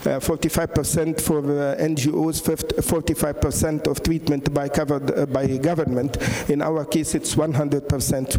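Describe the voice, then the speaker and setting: A man's voice: a little high, mellow. A middle-aged man with an Egyptian accent, speaking as a doctor on a conference panel into a handheld mic, hesitant with many fillers and restarts. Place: large conference hall on stage. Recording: echoey hall with long reverb.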